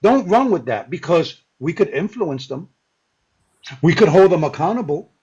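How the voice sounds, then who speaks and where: A man's voice: a little high, big pitch swings, moderate pace, dark tone, breathy edge. A man speaking in an impassioned group discussion, emphatic, repeating his points and checking in with "right?". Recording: dull, lo-fi call audio.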